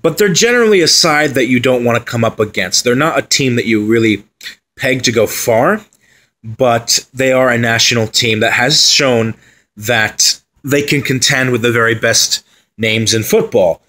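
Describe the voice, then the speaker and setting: A man's voice: mid-range voice, moderate pace, pushed and bright. Casual man previewing a football side. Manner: conversational, with fillers and asides. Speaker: football pundit. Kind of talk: solo commentary on team previews.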